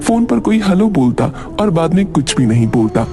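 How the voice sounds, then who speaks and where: A man's voice: fairly high pitch, very animated, moderate pace, loud music behind the voice. A man reading scripted story narration for a dramatic tale.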